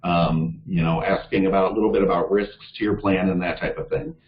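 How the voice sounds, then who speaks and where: A man's voice: low voice, steady tone, a little fast. A man presenting on a conference call, talking informally with many fillers and earnestly urging his listeners to act. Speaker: staff presenter for a league of cities. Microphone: call audio. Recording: echoey, dull call audio.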